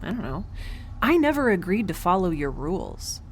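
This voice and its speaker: A lowish, expressive female voice. She is a defiant, wry spacefarer who talks conversationally, with sarcastic hesitations. Faint background noise sits under her. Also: normal speed. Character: defiant, wry young spaceship woman, self-described farmer-zookeeper. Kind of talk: audio drama dialogue.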